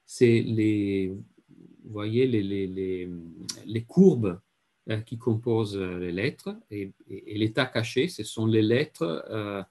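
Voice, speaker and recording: A man with an Italian accent lecturing in French, halting with many fillers and repeated words, and often clearing his throat. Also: on the low side, darkish tone. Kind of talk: university lecture.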